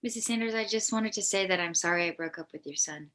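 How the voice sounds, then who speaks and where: A woman acts out a teenage girl in a comedy table read. She is level-headed and unimpressed, speaking in a crisp, bright voice with dry, deadpan delivery. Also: lowish voice, fast talker. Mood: polite, apologetic.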